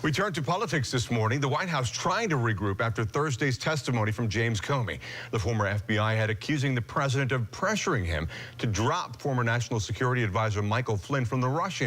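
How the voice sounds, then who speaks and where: A man's voice: mid-range voice, fairly bright tone. An American man working as a morning news anchor, moving between scripted news reading and casual banter.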